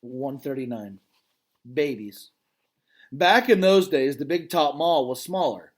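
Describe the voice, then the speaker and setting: A man reading a children's novel aloud as its gentle, thoughtful male gorilla narrator, in a calm, reflective way. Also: mid-range voice, slow pace. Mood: wistful.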